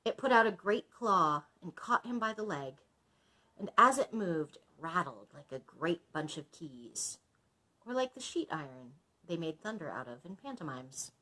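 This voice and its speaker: A woman's voice: lowish voice, big pitch swings, measured pace, pushed and bright. A woman librarian reading a story aloud expressively, breaking off to explain things in chatty asides.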